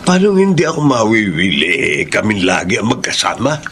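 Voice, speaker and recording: Gentle, expressive middle-aged Filipino husband, noisy recording. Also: male voice, lowish voice, fairly quick. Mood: fond, easygoing. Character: gentle middle-aged husband and father fussing over a foster baby. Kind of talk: Filipino radio drama acting.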